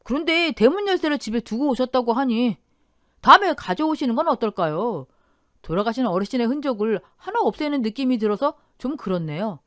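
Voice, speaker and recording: A woman's voice: fairly high pitch, animated, a little fast, fairly bright tone, no echo, studio quality. A woman voicing a real estate broker who hesitates and talks evasively.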